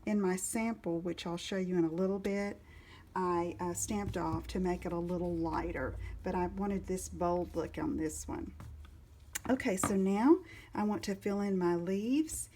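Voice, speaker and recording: A woman's voice: fairly low pitch, dark tone, slight background noise. A woman giving a casual step-by-step card-making tutorial, talking as she works and stopping now and then to look for her supplies. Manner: unhurried, talks while working, stops to search for supplies.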